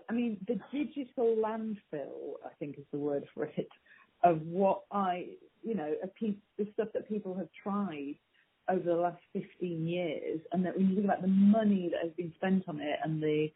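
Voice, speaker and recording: A woman's voice: on the low side, breathy edge. A woman giving informal, emphatic and candid answers as a marketing guest in an interview. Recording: thin phone-like line.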